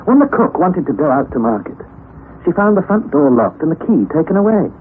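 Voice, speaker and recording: An actor playing an earnest young nephew who narrates an adventure in a dramatic old-time radio style. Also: male voice, a little high, sing-song, brisk. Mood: tense, secretive. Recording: tinny, narrow, noisy vintage radio recording.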